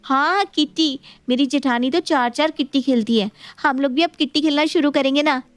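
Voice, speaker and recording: A woman's voice: sits high, moderate pace, dry recording, faint music behind, lightly acted. A woman playing a naive, earnest young daughter-in-law in a dramatized story. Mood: eager, scheming. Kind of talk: animated story dialogue.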